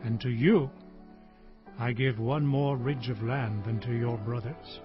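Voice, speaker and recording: An old Hebrew patriarch in a scripture drama. He declaims solemnly and very slowly, in a fairly high voice for a man. Music plays softly behind him. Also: animated. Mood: firm, solemn. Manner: solemn, deliberate, grandly pronouncing. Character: frail aged Hebrew patriarch solemnly blessing his sons. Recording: muffled lo-fi audio under music.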